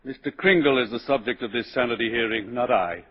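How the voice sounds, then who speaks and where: An actor playing a stern, formal, proper judge in a 1940s radio courtroom drama. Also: male voice, medium pitch, a little fast, slightly rough, lightly acted. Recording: dull 1940s radio broadcast.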